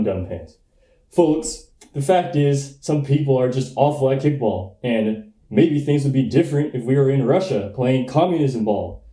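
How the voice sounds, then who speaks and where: A man's voice: medium pitch, mellow, roomy sound, natural voice. A young man playing a smug, blustering schoolboy running for class president in a comic radio play, with folksy politician bombast. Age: young adult.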